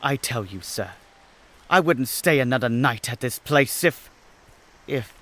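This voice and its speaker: A man's voice: medium pitch, measured pace. An actor playing a restless, outspoken young man who is uneasy, in a radio mystery drama. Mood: agitated, insistent. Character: restless young heir, an aircraft designer, uneasy yet outspoken. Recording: steady noise behind, vintage radio recreation.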